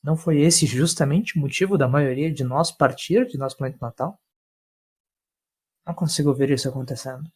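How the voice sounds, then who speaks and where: A man's voice: on the high side, darkish tone, husky. Man voicing a pensive, skeptical mage. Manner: deliberate, rhetorical, with long pauses. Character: pensive male mage, measured skeptical mystic.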